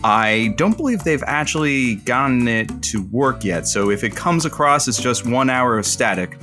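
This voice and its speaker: A sardonic man working at a radio show in an audio drama. His mid-pitched voice moves around a lot as he banters, sarcastic and evasive with a bitter edge, over music. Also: lively intonation, moderate pace, pushed and bright. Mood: wry announcing.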